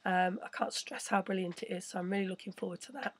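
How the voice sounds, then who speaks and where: A woman hosting a casual live stream, chatting and rambling with a croaky voice. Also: medium pitch, fast talker. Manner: chatty, rambling, reads viewer messages. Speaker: crypto channel host.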